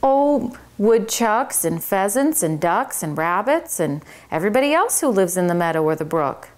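A woman reading aloud and voicing a gentle, homesick male cricket who speaks softly. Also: mid-range voice, close to an everyday voice. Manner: soft, wistful, unhurried. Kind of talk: children's book read-aloud.

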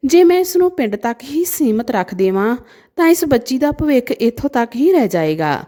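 Gentle, devout priest father voiced by a woman. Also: high-pitched, big pitch swings, moderate pace. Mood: prayerful, reflective. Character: kindly, devout male temple priest and devoted father.

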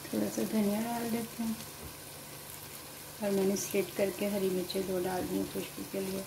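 A woman with an Urdu-influenced Hindustani accent calmly explains a home cooking recipe step by step to viewers. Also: medium pitch, moderate pace, creaky, background noise. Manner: calm, explanatory, addresses viewers directly. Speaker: home cooking channel host. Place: home kitchen at the stove.

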